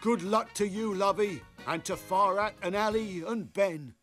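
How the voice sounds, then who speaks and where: A very high-pitched father in a cartoon. He is a working-class miner, folksy and doting in how he talks, with music behind him. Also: measured pace, a bit bright. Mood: proud, affectionate. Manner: affectionate, folksy. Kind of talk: cartoon acting.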